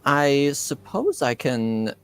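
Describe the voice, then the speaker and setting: A man voicing an eager, excitable, slightly hesitant young female exchange student in a tabletop game. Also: mid-range voice, takes it slowly, clear, resonant tone. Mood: eager, curious.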